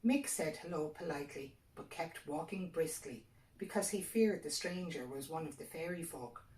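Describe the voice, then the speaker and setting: Low-pitched Irish woman storyteller, echoey room. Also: moderate pace, darkish tone. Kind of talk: scripted folktale narration. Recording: echoey room, distant mic.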